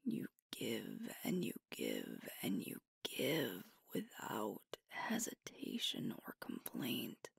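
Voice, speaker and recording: Casting: woman to play sweet alpha werewolf girlfriend for intimate ASMR roleplay, very low and gravelly under a breathy, tender hush, close-miked. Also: wildly swooping pitch, no room sound, exaggerated voice. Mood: tender, concerned. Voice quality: breathy, whispery. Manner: slow, tender, hushed delivery with pauses. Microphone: close microphone, dry.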